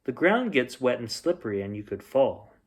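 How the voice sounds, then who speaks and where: A man's voice: medium pitch, very animated, moderate pace, breathy edge. A man voicing a kindly storybook guardian who gives careful, caring advice to his little companion. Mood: cautioning. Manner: caring, instructive.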